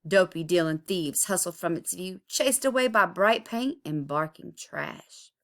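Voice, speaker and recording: A woman reading a children's picture book aloud expressively, with deliberate, dramatic emphasis. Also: medium pitch, fairly bright tone. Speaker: storybook reader.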